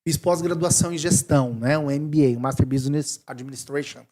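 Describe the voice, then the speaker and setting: Animated Brazilian man in his forties. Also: a little high, a little fast. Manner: animated, thinking aloud with restarts. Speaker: missionary guest. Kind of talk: casual interview chat.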